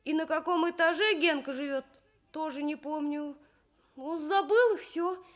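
Woman acting a high-voiced pleading little boy. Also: measured pace. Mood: sullen, evasive. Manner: stubborn, blurting excuses. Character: schoolboy Kostik, evasive, pleading, excitable little boy. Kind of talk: Soviet 1960s children's radio storytelling.

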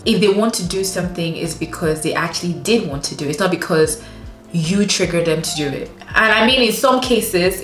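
A young woman in her twenties talks straight to camera in a frank, emphatic way, with a slightly low voice. The room around her echoes, and faint music plays underneath. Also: fairly bright tone. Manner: frank and emphatic, addresses viewers as sis, laughs easily. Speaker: lifestyle vlogger. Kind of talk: candid direct-to-camera advice. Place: home room indoors. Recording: roomy echo with faint music.

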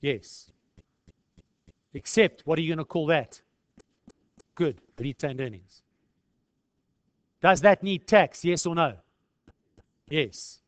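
High-pitched South African man teaching. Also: slow, pauses often, no echo. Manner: conversational, peppered with rhetorical questions and "eh". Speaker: accounting lecturer. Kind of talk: informal tutorial explanation.

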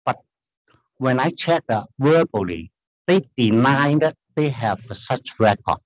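Slow, halting man with a Chinese accent. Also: medium pitch, expressive, lo-fi sound. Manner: halting non-native phrasing, earnest and insistent. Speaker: applicant appealing a denial. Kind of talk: formal hearing testimony. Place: large hearing room, standing at a lectern.

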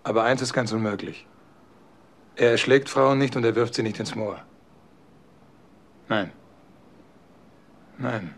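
A man's voice: mid-range voice, slow, vocal fry, long pauses. An actor playing an incredulous, defensive businessman in a crime radio play, often speaking in clipped phrases. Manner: echoes questions in clipped phrases. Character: grown son, businessman, incredulous, defensive about his father. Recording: noisy old radio drama recording.

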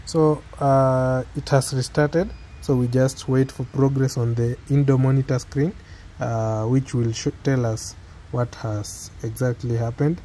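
Male tutorial presenter, Kenyan accent, mid-pitched, hesitant unscripted delivery, faintly noisy phone capture. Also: steady tone, unhurried, dark tone. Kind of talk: unscripted step-by-step tech tutorial.

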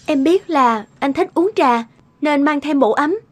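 A devoted young country wife in an animated tale. Her voice is high, soft and caring, with music playing underneath. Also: a little slow. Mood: tender concern. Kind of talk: animated moral tale dubbing.

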